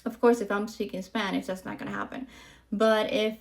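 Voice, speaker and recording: Gravelly-voiced podcast host in her forties. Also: medium pitch, flat delivery, brisk. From Puerto Rico. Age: 40s. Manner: candid, chatty, frequent ums and likes. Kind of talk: casual monologue to camera.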